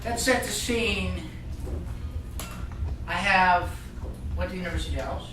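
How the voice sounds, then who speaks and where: A man's voice: high-pitched, a little slow. Joking rambling man in a roomy room. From Ireland. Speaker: guest speaker. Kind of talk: casual talk to a live group. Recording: roomy meeting room, distant mic, noisy.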